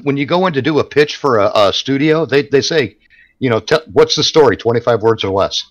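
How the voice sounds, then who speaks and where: A man's voice: medium pitch, animated, a little fast, dull audio. A man in casual comic banter, wisecracking and swearing, often stammering over repeated words. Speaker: podcast panelist.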